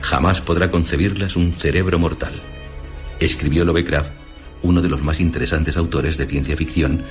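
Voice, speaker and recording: A man reading a scripted radio documentary in a measured, formal announcer's delivery. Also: extremely low pitch, steady tone. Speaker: radio narrator. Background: music.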